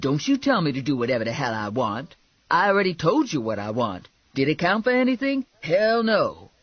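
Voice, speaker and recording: A man voicing a brash, sassy, loudmouthed woman with blunt, slangy, indignant talk in an audiobook. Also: on the high side, huge pitch swings, brisk, no echo, lo-fi sound.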